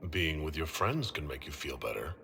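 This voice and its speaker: An actor playing a considerate leader and friend with a gentle, supportive way of talking, in a video game cutscene. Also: male voice, very deep voice, sing-song, moderate pace, a little hiss or hum, full character voice. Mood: caring.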